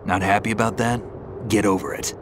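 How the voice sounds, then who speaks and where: A deep, gravelly actor's take on a male veteran soldier from a video game. He is stoic and dry, speaking in short, weighty phrases like a reluctant mentor. A noticeable ambient rumble sits behind the voice. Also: fairly even intonation, fairly quick, close to an everyday voice. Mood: terse, matter-of-fact. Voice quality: gravelly, gruff. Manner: terse, dry, unflappable. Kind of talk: video game cutscene voice acting.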